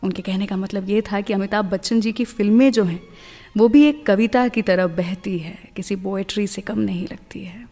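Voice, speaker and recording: Female radio host, warm and relaxed, narrates anecdotes conversationally; reverberant mix over soft music. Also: mid-range voice, normal speed. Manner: chatty storytelling, mixes in English words.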